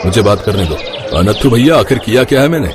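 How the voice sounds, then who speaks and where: A man voicing an honest, humble male panipuri seller in an animated village story, gentle and pleading. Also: on the low side, brisk, a crowd in the background, natural voice.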